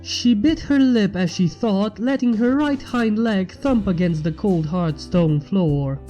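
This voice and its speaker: A woman's voice: medium pitch, a little slow, mellow, faint music behind, poor audio. A woman reading fan fiction aloud as scripted story narration.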